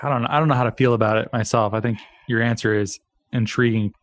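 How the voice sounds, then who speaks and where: A man's voice: medium pitch, steady tone, quick pace. A man hosting a podcast interview, enthusiastic and joking, asking long, searching questions. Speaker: podcast host. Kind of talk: conversational interview.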